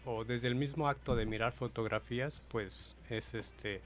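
A man's voice: medium pitch, steady tone, measured pace, soft background music. A man in his forties with a Mexican accent, answering as a guest, thoughtful and hesitant. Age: 40s. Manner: thoughtful, hesitant, soft-spoken. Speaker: guest photographer. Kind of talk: casual interview answers.

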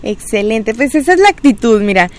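A woman's voice: on the high side, big pitch swings, a little fast, darkish tone. A woman hosting a casual radio interview, chatty and encouraging, with many filler words. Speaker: radio and social media host.

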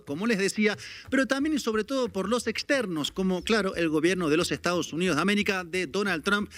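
A man's voice: high-pitched, fairly bright tone. A man presenting news commentary in a wry, conversational way.

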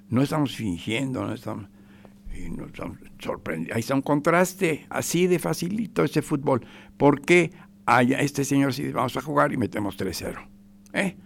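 Gravelly Mexican radio commentator. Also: male voice, lowish voice, slight background noise. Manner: emphatic, repetitive, drops to whispers.

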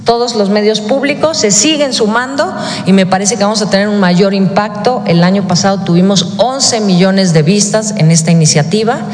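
A woman, presenting announcements at a press briefing in a measured, formal way. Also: medium pitch. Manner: measured, reads names and dates. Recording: echoey hall.

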